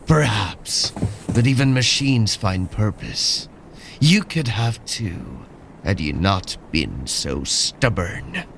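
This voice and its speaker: Slow, gravelly, menacing cyborg colonel. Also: male voice, on the low side, a little hiss or hum, heavily acted. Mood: cold, menacing. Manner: measured, ominous, calmly commanding. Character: cold, controlling cybernetic military colonel, calm menace. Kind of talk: sci-fi audio drama acting.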